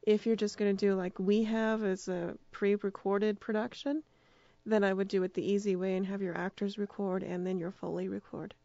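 A woman's voice: mid-range voice, little pitch movement, moderate pace, dark tone, no echo, muffled recording. A woman speaking as a radio drama producer and director, giving casual answers in an interview.

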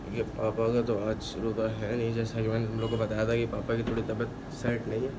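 A man's voice: lowish voice, completely flat delivery, quick pace. Tired young guy vlogging at home on his phone, noisy audio. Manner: chatty, addresses viewers as "your brother". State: tired and fasting. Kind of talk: casual daily vlog chat. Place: home, indoors. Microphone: phone camera held close.

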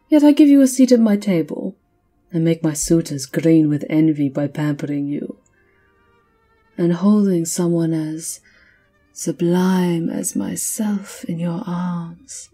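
Lady doing a cruel old vampire countess for asmr, lowish and husky, imperious, teasing, kinda whispery. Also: mellow, full character voice. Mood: gleeful, taunting, then haughty, demanding. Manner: imperious, slow, teasing, often dropping to breathy whispers. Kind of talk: ASMR roleplay monologue. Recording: violin music behind the voice.

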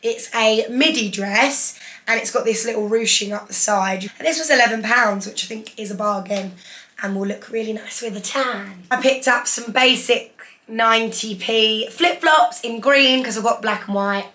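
A young woman in her twenties, hoarse from losing her voice, chatting enthusiastically through a clothing haul in her living room. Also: on the high side, moderate pace, sharp tone. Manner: chatty, enthusiastic, holds up each item. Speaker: fashion vlogger. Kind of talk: casual haul vlog. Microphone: camera in front, seated.

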